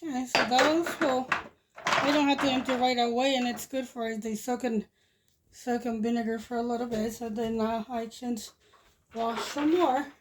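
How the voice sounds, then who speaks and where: A woman's voice: a little high, fairly even intonation, moderate pace, heavy background noise. A woman in her forties from Mexico with a Spanish accent, chatting casually and rambling as she washes dishes in her home kitchen, recorded on a nearby phone. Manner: rambling, restarts sentences, chuckles often. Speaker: homestead vlogger. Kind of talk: casual chat while doing chores. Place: home kitchen by a wood stove. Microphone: phone camera propped nearby.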